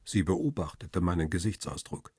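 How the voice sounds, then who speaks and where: A coolly observant male paparazzo telling his story in a radio crime drama. The voice is very raspy and fairly low, recorded close and dry. He narrates in a measured, descriptive way. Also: sing-song, darkish tone, no echo, heavily acted. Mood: detached, precise. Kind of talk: scripted first-person radio drama narration.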